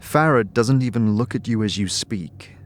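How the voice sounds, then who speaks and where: A man giving scripted fantasy narration in the second person, as a measured, dramatic storyteller. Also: lowish voice, slight background noise.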